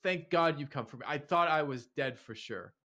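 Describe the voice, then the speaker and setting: A man's voice: on the high side, moderate pace, pushed and bright, dull audio, voice pushed up. A man voicing a terrified male captive who pleads shakily in a tabletop game. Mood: pleading. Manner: pleading, shaky.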